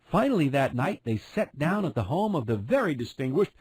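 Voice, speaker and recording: A man's voice: a little high, very animated, fairly quick, darkish tone, husky, no room sound, rough recording. A man narrating a fairy tale as a scripted storyteller.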